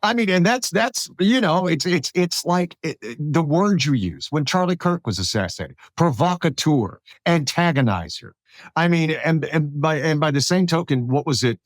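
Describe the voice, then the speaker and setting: An American man hosting a podcast, talking politics casually in a halting way, repeating words as he goes. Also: mid-range voice, no room sound, clean studio sound. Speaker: podcast host.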